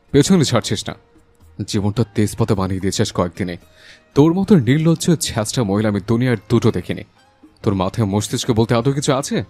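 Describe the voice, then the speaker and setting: Casting: male actor as passionate, protective young husband for romantic audio drama; low voice, emphatic delivery, music underneath. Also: a little mellow, natural voice. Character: hot-tempered young husband, fiercely protective, tender with his wife. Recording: voice over a music bed.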